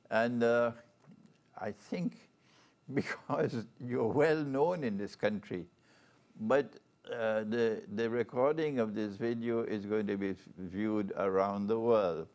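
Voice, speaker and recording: An older man from Trinidad and Tobago with a Trinidadian accent and a fairly low voice. He speaks as a talk show host addressing a guest and viewers. His delivery is formal and deliberate, with measured phrasing. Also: darkish tone. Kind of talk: formal guest introduction and interview.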